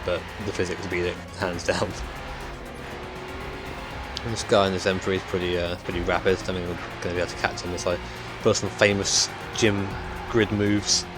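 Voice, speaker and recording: A young man, chatting casually and hesitantly about a racing game he is playing, his voice croaky. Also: low voice, level tone, moderate pace, music in the background. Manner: rambling, hesitant, with many ums. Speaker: gaming YouTuber. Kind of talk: casual gameplay commentary.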